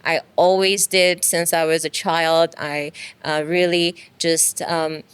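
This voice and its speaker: Soft-spoken Iranian-born woman, smooth low voice. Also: little pitch movement, takes it slowly. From Iran. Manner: soft-spoken, frequent um fillers. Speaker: guest. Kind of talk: casual interview.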